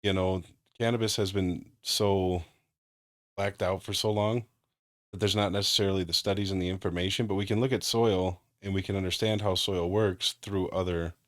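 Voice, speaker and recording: A man answering interview questions in a measured, explanatory way. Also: sits low, fairly even intonation, dry recording, studio quality. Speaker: guest, cannabis grower.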